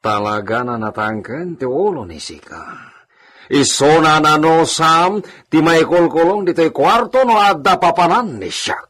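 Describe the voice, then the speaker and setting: An actor playing a cruel, short-tempered, haughty landowner husband in a radio drama, domineering and throwing in Spanish exclamations. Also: male voice, fairly high pitch, expressive, a little slow, fairly bright tone. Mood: menacing, scolding. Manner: domineering, mixes Spanish exclamations into his speech. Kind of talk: radio comedy drama acting.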